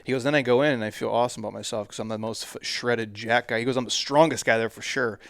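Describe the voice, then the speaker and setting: A man's voice: medium pitch, steady tone, fast, creaky, a bit bright, no room sound. A man around forty hosting a podcast, talking in laid-back, slangy, joking banter. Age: 40s. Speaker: podcast host. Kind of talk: casual chat.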